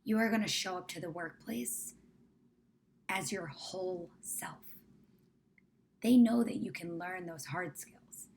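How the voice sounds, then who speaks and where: A woman leading a guided meditation in a measured, gentle, often hushed way, as a soothing instructor. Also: on the low side, gravelly, slight background noise. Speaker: meditation guide, company founder.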